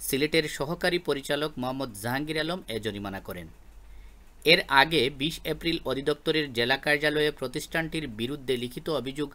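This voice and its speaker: A man reading a scripted news report as a voice-over in a formal, even newsreader manner. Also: medium pitch. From Bangladesh. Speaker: news narrator.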